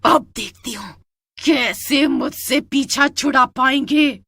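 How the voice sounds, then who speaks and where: A woman voicing a vengeful, gloating witch ghost in a storybook tale, taunting with drawn-out menace. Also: sits high, sharp tone, no room sound, studio quality, pitched above the performer's own voice, heavily acted. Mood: gloating, menacing. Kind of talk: melodramatic storybook character voice.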